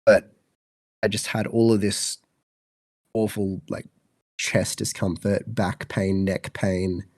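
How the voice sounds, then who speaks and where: A man's voice: lowish voice, level tone, slow pace, darkish tone, no echo. A man in his twenties with an Australian accent, co-hosting a podcast, sounding congested and fluey, rambling with many fillers. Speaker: podcast co-host. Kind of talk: casual chat.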